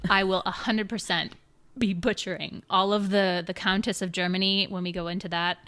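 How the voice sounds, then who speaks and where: A woman's voice: mid-range voice, moderate pace. A woman co-hosting a podcast in a casual chat, speaking English as a second language and laughing often. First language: not English. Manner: laughs often, lively and playful. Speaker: podcast co-host. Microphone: remote call.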